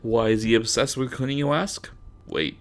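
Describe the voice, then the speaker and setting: A young man narrates a comic reading in a middle-pitched voice. His delivery is sarcastic and crude. He stresses words theatrically, as if presenting something grand. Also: fairly even intonation, normal speed. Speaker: narrator reading own fanfiction. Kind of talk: comedic dramatic reading.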